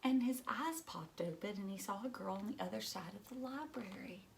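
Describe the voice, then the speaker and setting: A woman teacher reading a children's storybook aloud, expressive and dramatic, as if to young listeners. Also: mid-range voice, normal speed, gravelly, a little hiss or hum.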